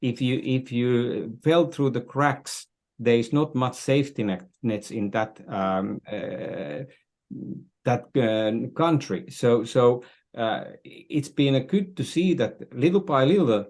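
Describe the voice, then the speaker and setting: An older man from Finland speaking as an interview guest, earnestly explaining his views in deliberate, halting phrases. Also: medium pitch, darkish tone. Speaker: podcast guest, business strategist.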